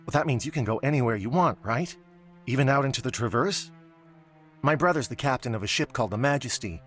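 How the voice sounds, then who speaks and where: A man's voice: medium pitch, animated, fairly quick, a bit bright, soft background music, natural voice. A man playing a worried, plain-spoken civilian in a video game, talking earnestly in an everyday manner. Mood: worried, hopeful. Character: worried working man seeking his missing brother.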